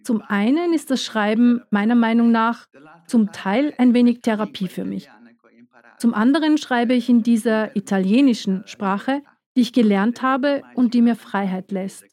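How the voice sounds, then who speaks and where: A woman giving a measured, earnest first-person voice-over for a documentary. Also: a little high, a little mellow. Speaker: voice-over speaker.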